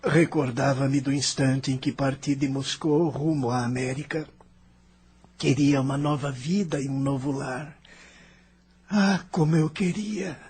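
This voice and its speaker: A man's voice: medium pitch, steady tone, unhurried, darkish tone, husky. A man playing an anguished, regretful young emigrant's restless spirit in an audio drama, with dramatic, emotional delivery. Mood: uneasy, regretful. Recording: music behind the voice.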